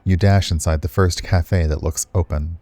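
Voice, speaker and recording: A man's voice: sits low, fairly even intonation, normal speed, mellow, no room sound. A man narrating a visual novel expressively, with casual commentary as he streams it. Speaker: game streamer.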